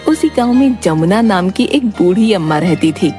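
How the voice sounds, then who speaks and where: A woman's voice: mid-range voice, normal speed. A woman giving scripted narration for a cartoon story. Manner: steady, storytelling. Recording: background music.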